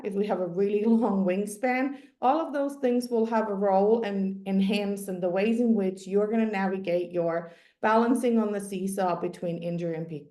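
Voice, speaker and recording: A woman whose first language is Finnish presents a sports psychology webinar at a middle pitch. She explains ideas step by step, often pausing with ums and restarting her sentences. Her voice carries a roomy echo. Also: dark tone.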